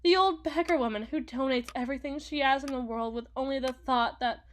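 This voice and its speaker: A woman playing a cool, possessive demon in an ASMR roleplay, with formal, deliberate, theatrical phrasing. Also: sits high, a little hiss or hum, natural voice. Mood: intrigued, intense. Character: cool, possessive female demon proposing a marriage contract. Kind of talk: ASMR roleplay, scripted dramatic monologue.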